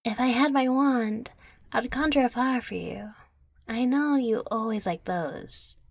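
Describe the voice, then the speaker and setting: A woman's voice: fairly high pitch, unhurried, gravelly, no room sound. A woman voicing a laid-back, mischievous young fairy who talks in casual slang, in an ASMR audio roleplay. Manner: slangy, nonchalant.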